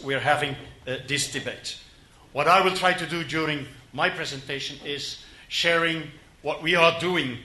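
A Flemish-accented man in his fifties presents at a conference podium, with a fairly high and bright voice. He talks haltingly and often restarts his phrases. The hall around him rings with echo. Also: a little hiss or hum. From Belgium. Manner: halting, many fillers and restarts. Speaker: conference speaker. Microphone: podium microphone.

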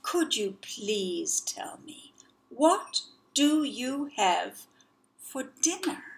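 A woman's voice: on the high side, lively intonation, a little slow. A woman doing an earnest young elephant calf. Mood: polite pleading. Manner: polite, earnest, pleading. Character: young male elephant calf, polite and curious.

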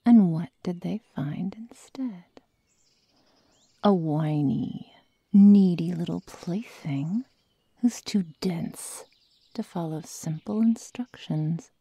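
A woman voicing a sadistic, predatory young werewolf girl in an ASMR roleplay, whispering and murmuring with slow, taunting menace. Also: lowish voice, mellow, dry recording, heavily acted. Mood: sadistic, gloating. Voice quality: breathy, husky whisper with gravelly murmurs.

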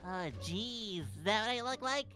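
A woman's voice: medium pitch, animated, moderate pace, sharp tone, faint music behind. A woman voicing a timid, confused male animatronic rabbit who stammers nervously in a Minecraft roleplay. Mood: unsure.

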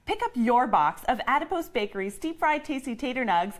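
A woman's voice: on the high side, very animated, brisk. A woman playing a perky 1950s housewife and mother, delivering cheery sales patter like an old radio advert. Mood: perky, wholesome.

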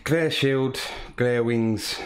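A man from the United Kingdom, a home cockpit builder, calmly walking through his hobby build with frequent "uh" fillers. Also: mid-range voice. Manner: calm, unhurried, frequent "uh" fillers. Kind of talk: casual hobby walkthrough.

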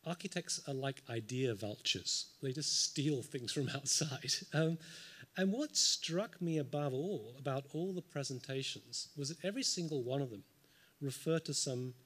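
A man speaks at a symposium panel table, thinking aloud with frequent ums and dry little asides. His voice sits at an ordinary middle pitch. He is picked up by a table microphone in a large lecture hall. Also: normal speed, a bit bright. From United Kingdom. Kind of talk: unscripted panel response.

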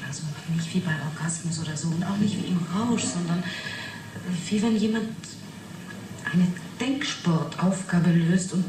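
A woman's voice: fairly low pitch, normal speed, close to an everyday voice. Actress as a fascinated woman witness, roomy, noisy recording. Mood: intent, fascinated. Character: young woman witness recounting an uncanny experiment, matter-of-fact yet fascinated. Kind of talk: German radio play acting. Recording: roomy 1980s radio play, noisy background.

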